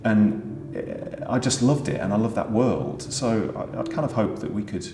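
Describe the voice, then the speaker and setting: A man, a pantomime writer and performer, talking through his ideas in a thoughtful, halting way with many fillers. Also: lowish voice, lively intonation, warm tone. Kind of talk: conversational discussion. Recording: echoey room with faint background noise.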